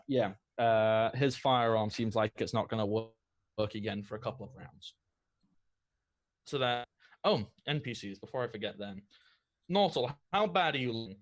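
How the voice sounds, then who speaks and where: A man running a tabletop game as game master, narrating the action in a lively way. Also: fairly low pitch, normal speed.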